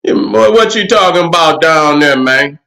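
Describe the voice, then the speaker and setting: High bright-voiced profane livestream host. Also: male voice, expressive, moderate pace, vocal fry. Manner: profane, rambling, repeats "you know what I'm saying". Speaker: livestream host answering chat. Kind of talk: casual livestream commentary.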